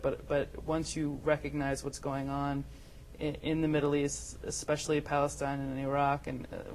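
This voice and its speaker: A woman's voice: sits low, a little mellow, a little hiss or hum, poor audio. A woman in her thirties, a filmmaker guest on a talk show, talking casually and hesitantly with many restarts. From United States. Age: 30s.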